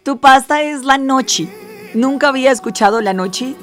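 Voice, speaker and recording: Bubbly higher-voiced woman radio host. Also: normal speed. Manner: bubbly, reads lists with playful emphasis. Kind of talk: radio segment hosting. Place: radio studio.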